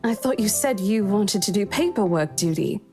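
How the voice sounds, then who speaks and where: Woman acting a brisk woman over music. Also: mid-range voice, lively intonation, natural voice. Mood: startled. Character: brisk, questioning woman, taken aback. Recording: clear music under the voice.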